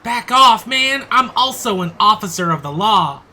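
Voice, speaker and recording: Woman playing an exasperated crossing guard, bright voice. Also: medium pitch, expressive, moderate pace. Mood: indignant. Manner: dry, sarcastic, incredulous. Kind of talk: comic audio play acting.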